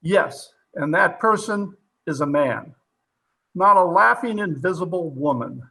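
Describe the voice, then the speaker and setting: An actor playing a hard-nosed, sarcastic police captain in an old-time radio drama, interrogating forcefully and full of disbelief. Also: male voice, sits high, exaggerated voice, low-quality recording. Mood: grim.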